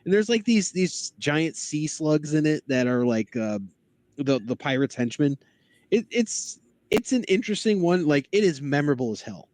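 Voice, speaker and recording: A man's voice: fairly high pitch, sing-song, normal speed, darkish tone, dry recording. A man chatting casually and enthusiastically about cartoons, with a geeky manner, as a podcast guest.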